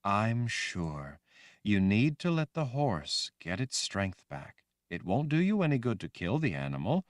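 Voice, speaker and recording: A gentle motherly woman spirit speaks in a soft, soothing voice, read by a male audiobook narrator. The delivery is slow and calm. It sounds close to the mic in a dry space. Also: on the low side, no echo. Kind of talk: audiobook reading.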